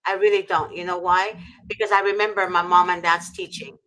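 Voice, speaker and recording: Host from Egypt, middle-aged woman, fervent and preachy, bright forceful tone. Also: lowish voice, level tone, a little fast, slight background noise, rough recording. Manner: fervent, preachy, repeats for emphasis. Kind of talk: impassioned faith talk.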